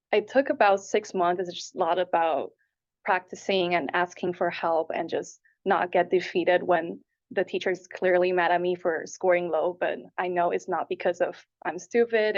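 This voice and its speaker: A woman in her twenties from Taipei and Hong Kong, earnestly answering interview questions in a conversational way. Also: on the low side. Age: 20s. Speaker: interviewee, applicant. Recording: dull, lo-fi audio.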